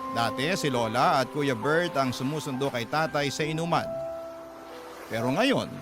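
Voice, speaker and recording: A man's voice: mid-range voice, normal speed, natural voice. An actor playing a sorrowful young Filipino man narrating a poor childhood in a radio drama. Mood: wistful. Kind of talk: dramatic first-person storytelling. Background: loud music.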